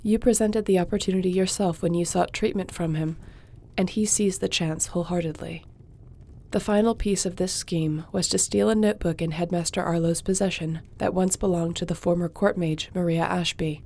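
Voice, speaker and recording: A woman playing a mellow, calm sorceress. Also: on the low side. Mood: steady. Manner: measured, reassuring, reads reports aloud. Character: loyal young sorceress, calm royal advisor. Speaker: audio roleplay performer. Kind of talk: fantasy audio roleplay.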